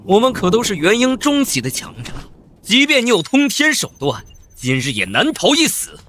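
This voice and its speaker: A man voicing an arrogant, wrathful old cultivator, pompous and menacing, in a fantasy animated comic. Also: high voice, normal speed, a little hiss or hum, full character voice. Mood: arrogant, threatening. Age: older.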